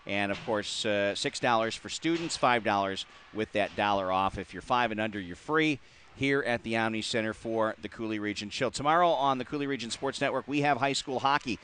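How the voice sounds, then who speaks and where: A man from the Upper Midwest hosting a local hockey talk show, chatty and quick to laugh, with many filler words. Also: on the low side, fairly bright tone, clean tone. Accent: Upper Midwest American. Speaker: host. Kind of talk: casual sports talk.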